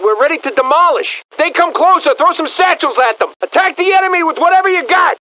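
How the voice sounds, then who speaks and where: An actor playing a tough American combat engineer in a military video game, with forceful, terse delivery. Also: male voice, very high-pitched, no echo. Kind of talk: military game unit acknowledgements. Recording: dry, slightly muffled radio-style game audio.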